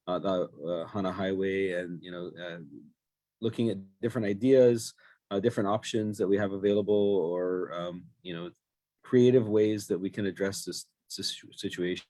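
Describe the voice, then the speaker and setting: A hoarse man, a county managing director giving hesitant remarks at a virtual town hall. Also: on the low side, warm tone. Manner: hesitant, repeats words.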